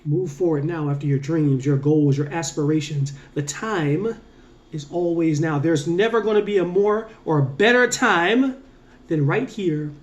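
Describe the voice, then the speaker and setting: High-voiced earnest motivational coach, man. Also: dull audio. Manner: earnest, repetitive, emphatic with drawn-out slow endings; energetic, rising on key points. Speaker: mindset coach and motivational speaker. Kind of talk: casual motivational talk to camera.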